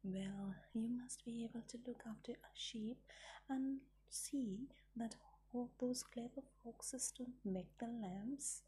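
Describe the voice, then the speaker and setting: A gruff, watchful male sheepdog, whispered by a woman reading a storybook. The voice is sternly hushed, almost all breath, and darkly mellow. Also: fairly high pitch, takes it slowly, exaggerated voice, low-quality recording. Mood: explaining.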